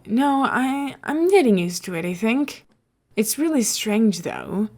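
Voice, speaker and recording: A woman's voice: on the high side, sing-song, slow, darkish tone, heavily acted. A woman voicing a bemused, grumbling young man with a dry, reluctant manner in podfic dialogue.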